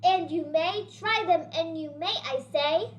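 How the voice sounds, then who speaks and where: Tiny persistent storybook pest voiced by a woman, very high, slow and coaxing, in a roomy echo. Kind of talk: children's read-aloud character voice. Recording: roomy, echoey sound.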